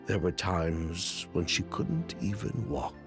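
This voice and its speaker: An actor playing a grave, rueful businessman in a video game, telling his story in a measured, dramatic way. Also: deep voice. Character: grave, rueful businessman confessing past schemes. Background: soft game music.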